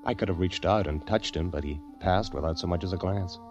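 An actor playing a nervous poet, telling his tale with halting intensity in a 1940s radio drama style. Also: low-pitched, lo-fi sound, lightly acted. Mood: uneasy, suspenseful. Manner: hushed, deliberate, halting, intense. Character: nervous poet fleeing the world, haunted narrator. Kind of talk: 1940s radio acting, dramatic first-person narration. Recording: vintage radio drama with music behind. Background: music.

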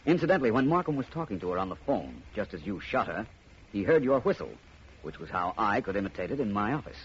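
An actor playing a shrewd, self-assured detective in a 1950s radio drama, suave and deliberate with wry phrasing. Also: male voice, on the low side, big pitch swings, breathy edge, exaggerated voice. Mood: explaining calmly. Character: shrewd, self-assured private detective explaining his case. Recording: muffled vintage radio recording.

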